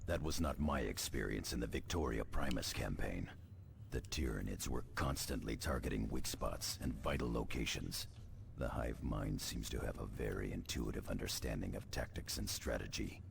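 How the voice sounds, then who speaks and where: An actor playing a grim, stoic veteran space marine in a video game, grave and measured. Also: male voice, very deep voice, creaky, slight background noise, full character voice.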